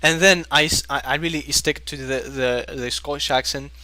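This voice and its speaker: Bright, higher-voiced man chatting on a podcast. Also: steady tone, fairly quick, no room sound. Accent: non-native English with British leanings. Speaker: podcast host and English teacher. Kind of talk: casual podcast chat with anecdotes.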